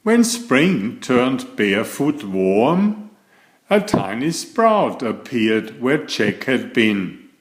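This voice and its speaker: A man reading a children's bedtime story aloud in a deliberate, expressive way. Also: mid-range voice, echoey room. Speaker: storyteller, children's author and channel host.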